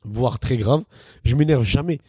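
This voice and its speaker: Young male comedian, mellow timbre, dry close mic, lively storyteller who slips into quoted voices. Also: mid-range voice, measured pace, breathy edge, dry recording. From northern France. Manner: animated, acts out quotes in shifted voices. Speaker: comedian guest. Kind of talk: casual podcast chat.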